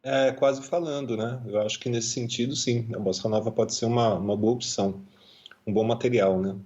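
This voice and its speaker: A man's voice: normal speed, muffled recording. A Brazilian man with a Brazilian Portuguese accent, a musician guest talking casually, thoughtful, with many fillers and repeats. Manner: thoughtful, many fillers and repetitions, lively pitch swings. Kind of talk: casual conversational interview.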